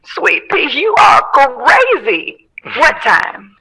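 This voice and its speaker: A refined, glamorous star of the 1960s in a period audio drama. She teases coyly in a bright, forward voice and takes her time over her words. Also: sits high, sing-song, vocal fry, full character voice, poor audio. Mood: coy, amused. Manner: refined, coy, drawn-out delivery.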